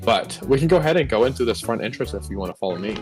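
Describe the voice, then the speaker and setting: A man's voice: mid-range voice, expressive, racing. Chatty man giving a game tour. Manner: chatty, upbeat guide talk. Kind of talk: casual game tour commentary. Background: background music.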